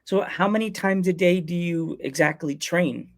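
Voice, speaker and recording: A man's voice: high voice, moderate pace. A man hosting a wrestling podcast interview, enthusiastic and often restarting his questions. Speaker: podcast host. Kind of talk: casual interview.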